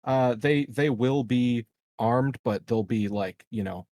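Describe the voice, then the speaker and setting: Halting man with a dry, close sound. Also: medium pitch, mellow, no echo. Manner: halting, deliberate planning aloud. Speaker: tabletop game player. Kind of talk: casual game-table talk.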